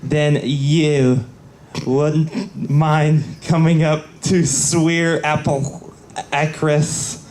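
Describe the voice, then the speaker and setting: A fairly high-voiced man reads a story aloud at a live panel. He goes slowly and haltingly, picking through the words. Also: little pitch movement, a little mellow. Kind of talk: halting read-aloud of garbled fan fiction. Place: convention panel room.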